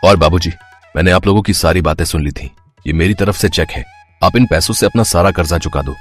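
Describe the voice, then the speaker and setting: A man playing a gentle, earnest young husband and generous son-in-law in a moral story. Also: deep voice, brisk, lightly acted. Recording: music behind the voice.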